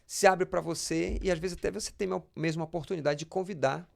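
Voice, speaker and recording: Brazilian male pastor, interview guest. Slightly high voice with noticeable creak, conversational storyteller who restarts phrases. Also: fairly even intonation, a little fast. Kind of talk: conversational interview, personal anecdotes.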